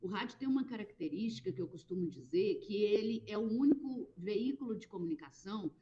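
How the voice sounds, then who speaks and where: Mellow Brazilian woman on a dull line. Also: mid-range voice, animated, brisk. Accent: Brazilian Portuguese. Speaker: guest, radio station worker. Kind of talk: conversational interview answer.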